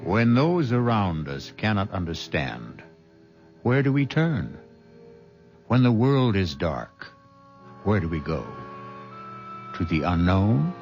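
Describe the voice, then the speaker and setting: A man narrating a radio mystery drama in a slow, solemn, brooding way. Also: deep voice, sing-song. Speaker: host narrator. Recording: dull old radio broadcast with music.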